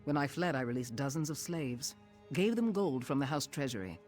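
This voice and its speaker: A man voices a sardonic veteran thief of noble birth in a fantasy video game. The voice is mid-pitched, with a dry, haughty, formal delivery. Music plays behind the words. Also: lively intonation, normal speed, darkish tone, lightly acted. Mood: proud, bitter.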